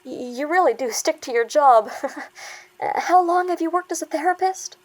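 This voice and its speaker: Woman acting an anxious, high-voiced young therapy patient. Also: lively intonation, normal speed. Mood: wary. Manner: hesitant, earnest, stammering under pressure. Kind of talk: audio drama acting.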